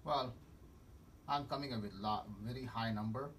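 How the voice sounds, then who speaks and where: A man's voice: medium pitch, moderate pace, a bit bright, a little hiss or hum. Middle-aged man talking from across the office. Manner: thinking aloud while typing numbers. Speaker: real estate investor. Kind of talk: casual phone discussion. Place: small office at a desk computer. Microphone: far camera. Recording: distant mic, faint keyboard typing.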